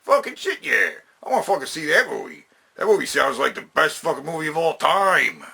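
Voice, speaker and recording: Raspy, forceful man hosting a countdown. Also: on the high side, big pitch swings, fairly quick. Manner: heavy casual swearing, emphatic, repeats himself. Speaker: video host counting down a top ten list. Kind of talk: casual opinionated countdown commentary.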